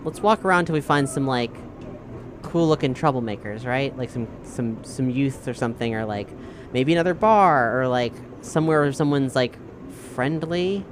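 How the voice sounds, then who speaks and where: A man's voice: medium pitch, expressive, normal speed, noisy background. A man playing a tabletop roleplaying game, talking casually and tentatively as he thinks aloud and repeats words. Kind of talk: casual improvised game discussion. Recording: music clearly audible behind voice. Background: background music.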